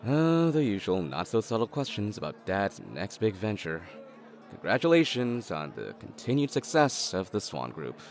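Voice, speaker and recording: Lowish, wry big brother. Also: male voice, very animated, normal speed. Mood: wry, amused. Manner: dry, sarcastic understatement. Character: wry, easygoing adult elder brother, tycoon's son. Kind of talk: naturalistic audio drama acting.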